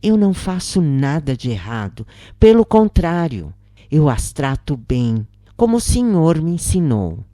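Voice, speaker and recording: A heartbroken, honest man speaks in bewilderment in a story reading, acted out by a woman. The delivery is slow and expressive, with a mellow tone. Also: low voice, no room sound, clean studio sound. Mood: dejected. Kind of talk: dramatised first-person story reading.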